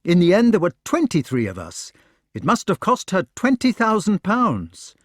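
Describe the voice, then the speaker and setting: A man voicing an eager, warm-hearted young knight who talks loudly and excitedly. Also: unhurried, slightly rough, no room sound, clean studio sound, full character voice. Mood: overjoyed. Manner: loud, excited, pitched high.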